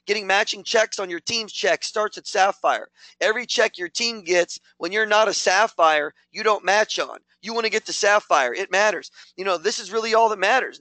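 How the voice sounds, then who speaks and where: High-pitched, hyped man coaching a sales team. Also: sharp tone, no echo. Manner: punchy, repetitive, hyped coaching. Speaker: network marketing team leader. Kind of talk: motivational training talk.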